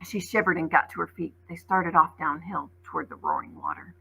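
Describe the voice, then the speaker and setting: A woman's voice: low voice, wildly swooping pitch, brisk, vocal fry, fairly bright tone, husky, poor audio. A woman schoolteacher reading a children's story aloud expressively and explaining it plainly for young listeners.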